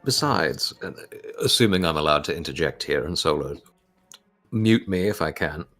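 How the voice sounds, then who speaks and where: Low gravelly guy at a game table, lively intonation, kinda formal wording, music behind. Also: normal speed. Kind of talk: casual interjection at a tabletop game.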